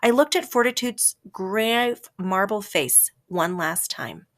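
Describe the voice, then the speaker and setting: Expressive woman reading a children's story. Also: mid-range voice, slow pace. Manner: dramatic, varies voice and pace for effect. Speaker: storybook reader.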